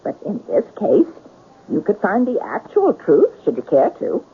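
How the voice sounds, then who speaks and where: An actress playing a romantic yet practical woman in a mystery radio drama, coaxing and questioning in a husky voice. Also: medium pitch, huge pitch swings, a little slow, full character voice. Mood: coaxing, curious. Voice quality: husky, breathy. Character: romantic, practical, gently prodding female listener of writer's tale. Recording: narrow tinny radio broadcast.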